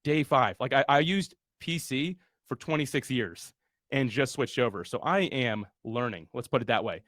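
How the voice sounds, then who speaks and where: A man's voice: mid-range voice, moderate pace, fairly bright tone, no echo. Man, webinar host, earnest, motivational, dry sound. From USA. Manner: earnest, motivational, casual fillers. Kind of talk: webinar launch party hosting.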